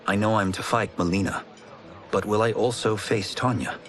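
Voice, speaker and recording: A man's voice: on the low side, fairly even intonation, normal speed, fairly bright tone, close to an everyday voice. An actor playing an earnest, curious young champion in a video game, a young man speaking plainly and politely. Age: young adult. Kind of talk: video game cinematic acting. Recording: music behind the voice.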